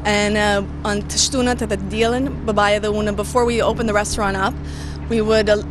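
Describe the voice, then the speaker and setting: A woman's voice: mid-range voice, fairly even intonation, brisk. Chatty Canadian woman, music behind. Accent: Canadian English. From Canada, of Albanian parents from North Macedonia. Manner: chatty, switches between English and Albanian. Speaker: TV traffic reporter, interview guest. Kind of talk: casual interview answers.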